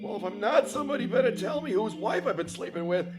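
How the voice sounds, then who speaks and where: A man's voice: high-pitched, lively intonation, fast, over loud music. A man playing an irritable, brash caretaker in a parody of 1940s radio drama. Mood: irritated, brash. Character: grouchy, crude male caretaker woken at night.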